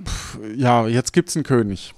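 A man's voice: medium pitch, moderate pace, a little mellow, dry recording. A man hosting a podcast, chatting casually and informally. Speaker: podcast host. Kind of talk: casual podcast chat about an improvised audio adventure.

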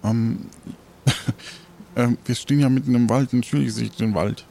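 A man's voice: mid-range voice, fairly even intonation, unhurried, gravelly, mellow. Casual man game master, noisy background. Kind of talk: improvised adventure game narration.

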